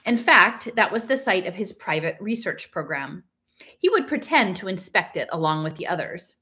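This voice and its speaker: A woman's voice: fairly low pitch, big pitch swings, moderate pace, sharp tone. A middle-aged woman with an American accent, talking casually and reading aloud as host of a home stream, in an emphatic, conversational way. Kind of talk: casual talk and unrehearsed reading aloud from a book. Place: small living room at home, indoors.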